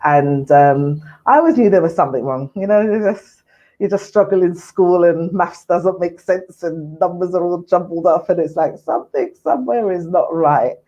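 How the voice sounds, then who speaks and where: A woman's voice: lowish voice, moderate pace, warm tone. A woman in her forties from Ghana, a mental health services manager who speaks in an earnest, reflective way as an interview guest over a video call. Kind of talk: casual interview chat. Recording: muffled lo-fi audio.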